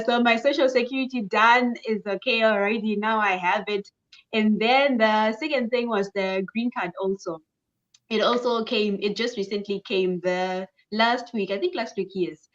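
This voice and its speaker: A young woman from Zimbabwe chats as an interview guest in an easy, upbeat way. She repeats words for emphasis, and her voice sounds slightly muffled. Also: medium pitch. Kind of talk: casual interview answers.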